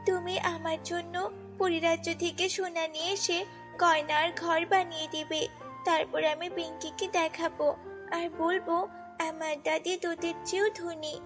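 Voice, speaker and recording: Excitable little granddaughter acted by a woman, high childlike cartoon voice, pleading, music behind. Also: measured pace. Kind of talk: children's fairy-tale cartoon voicing. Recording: long reverb, music bed.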